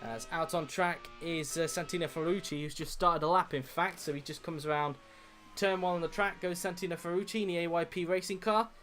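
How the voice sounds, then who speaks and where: Croaky-voiced man calling sim racing. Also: fairly high pitch, fairly quick, soft background music. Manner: steady lap-by-lap play-by-play with frequent "uh" fillers. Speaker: commentator.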